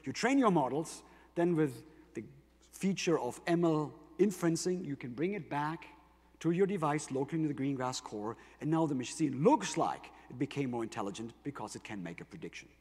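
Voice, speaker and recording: A man's voice: on the high side, animated, a little fast, darkish tone, breathy edge. A man with a German accent giving an explanatory keynote tech presentation, emphasising points with rhetorical questions. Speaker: conference presenter for a tech company. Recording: reverberant large hall.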